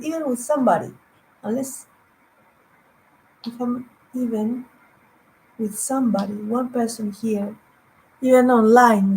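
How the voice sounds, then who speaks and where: A woman evangelist whose first language is Greek, preaching online in a halting way, repeating words and humming between thoughts. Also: on the high side, a little mellow, slight background noise, rough recording. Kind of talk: online sermon with anecdotes.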